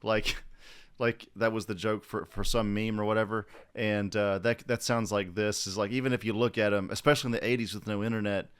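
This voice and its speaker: Smooth, low-voiced man co-hosting. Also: fairly even intonation, fairly quick, fairly bright tone. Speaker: podcast co-host. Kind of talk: casual chat, joking commentary.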